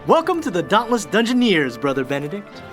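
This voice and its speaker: An actress playing a wry, smooth-talking thief and mercenary adventurer in a fantasy audio drama, glib and sardonic. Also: female voice, mid-range voice, very animated, a little fast, over music, close to an everyday voice. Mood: wry, bargaining. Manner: glib, sardonic, formal wording.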